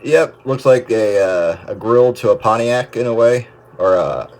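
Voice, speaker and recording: A man's voice: medium pitch, unhurried, darkish tone. A man chatting casually and ramblingly over the radio about equipment, trailing off as he goes. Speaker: host of an amateur radio chat. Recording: noisy radio transmission with static.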